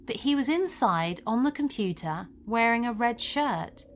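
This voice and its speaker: A woman's voice: fairly high pitch, lively intonation, unhurried, a little hiss or hum. A woman reading a scripted first-person paranormal story as a narrator.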